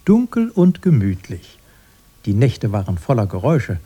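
Radio drama: bitter, domineering brother, husky and swooping, insistent and mock-earnest. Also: male voice, fairly low pitch, huge pitch swings, moderate pace, warm tone, full character voice. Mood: mock-earnest nostalgia. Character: bossy, bitter brother recalling a poor childhood.

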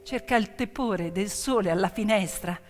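A woman stage storyteller narrating dramatically, with emphatic phrasing and weighted pauses. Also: medium pitch. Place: theatre-like hall, with piano accompaniment. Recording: long hall reverb, music behind.

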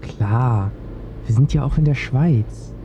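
A man's voice: mid-range voice, slow, warm tone, muffled recording, a little hiss or hum. An actor playing a curious, cheeky teenage boy with a blunt, wry way of asking things in a radio drama. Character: curious, cheeky teenage boy travelling with his old mentor.

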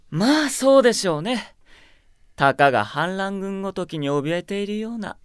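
Smug, mockingly polite young mage, swooping delivery. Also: male voice, sits high, very animated, a little slow, clean tone, no echo, studio quality. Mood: smug taunting. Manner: soft polite speech laced with taunting. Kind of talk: radio drama acting.

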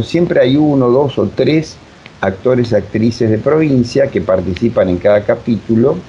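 A man with a Buenos Aires Argentine accent, a drama director explaining his work in an interview in a digressive, emphatic way. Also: mellow. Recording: dull, noisy lo-fi audio with rumble.

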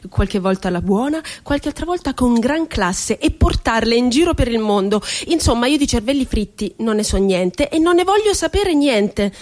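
A woman's voice: fairly high pitch, lively intonation, moderate pace, few pauses, a little mellow, lightly acted. An actress playing a wry, childlike, wounded woman poet confined in an asylum, in a lyrical theatrical monologue. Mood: playful, wry. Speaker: stage actress. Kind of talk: theatrical monologue for radio drama.

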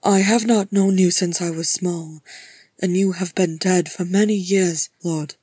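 A woman's voice: fairly low pitch, steady tone, a little slow, warm tone, breathy edge, no echo, studio quality. Woman voicing an emphatic, awestruck male dwarf. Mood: awestruck, respectful. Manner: emphatic, formal archaic phrasing. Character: Gimli, earnest stout male dwarf, awestruck and bewildered.

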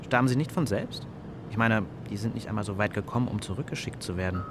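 A man's voice: fairly low pitch, fast talker, noisy background, natural voice. An actor in a radio play as a sceptical young man who speaks with flippant, questioning irony. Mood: flippant, musing. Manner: questioning, ironic. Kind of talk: German radio play dialogue. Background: music.

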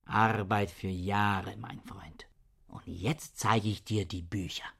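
An actor playing an aloof, irritable bookish scholar with a pedantic, curt manner in a German radio drama. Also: male voice, fairly low pitch, fairly even intonation, takes it slowly, vocal fry, fairly bright tone, slightly rough, heavily acted. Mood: absorbed.

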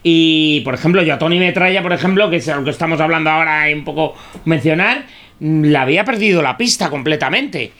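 A man with a Madrid Spanish accent chats on a radio show, exclaiming and swearing freely as he goes. His voice sits a little high and sounds bright and forceful. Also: quick pace. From Madrid, Spain. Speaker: radio presenter guest. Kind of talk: casual radio chat.

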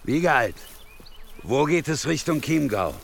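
A man's voice: fairly high pitch, big pitch swings, measured pace, gravelly, slight background noise, heavily acted. An actor playing a cruel, mocking man in a German audio drama. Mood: sneering. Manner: sneering, taunting. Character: cruel mocking man who begs when doomed. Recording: loud music behind the voice.

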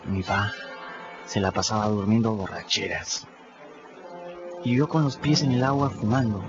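An actor voicing a plucky, sly runaway boy in a radio drama, telling his story in the first person in a lively way. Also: fairly low pitch, measured pace, background noise, natural voice. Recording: dull lo-fi radio recording. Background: clear music bed.

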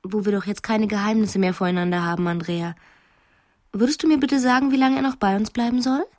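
An actress playing a sly, restless, determined wife in a radio drama. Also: female voice, mid-range voice, a little fast, darkish tone, dry recording, clean studio sound, close to an everyday voice. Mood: pointed, ironic.

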